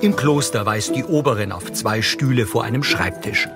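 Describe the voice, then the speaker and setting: A man's voice: mid-range voice, moderate pace. A man reading scripted audio description in a neutral, matter-of-fact way. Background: loud music.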